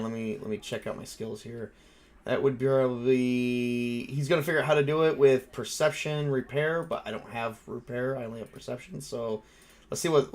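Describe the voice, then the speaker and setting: A man narrating a tabletop roleplaying game casually, with animated, informal storytelling as game master and player. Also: mid-range voice.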